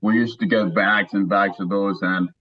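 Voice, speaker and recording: Low-voiced Cuban-born man, dull line. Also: steady tone. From Cuba. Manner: halting, repeats words. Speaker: podcast guest. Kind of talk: casual interview.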